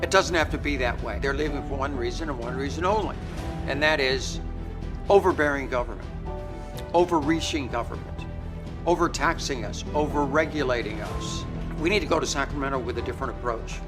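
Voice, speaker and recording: A man's voice: medium pitch, a little fast. A man giving an emphatic campaign ad speech, repeating short slogans. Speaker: political candidate in an ad. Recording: campaign ad over background music. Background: music.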